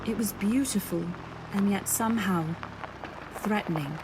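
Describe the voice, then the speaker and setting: A woman playing a dreamy, naive young newlywed, narrating in measured phrases in an old-time radio drama style. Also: medium pitch, background noise, lightly acted. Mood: wistful, dreamy. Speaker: narrator and lead. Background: faint music.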